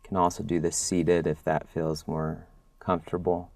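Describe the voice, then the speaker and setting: A man guiding a body scan meditation as a mindfulness instructor, speaking gently and soothingly with long pauses. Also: low voice, monotone, gravelly, no echo.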